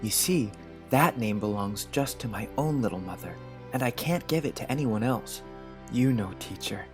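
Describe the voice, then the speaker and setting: A man's voice: mid-range voice, expressive, moderate pace, a little mellow, natural voice. A man playing an earnest, affectionate, dreamy thirteen-year-old boy in a dramatized story. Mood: tender, earnest. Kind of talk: dramatized audiobook character acting. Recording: music bed under the voice.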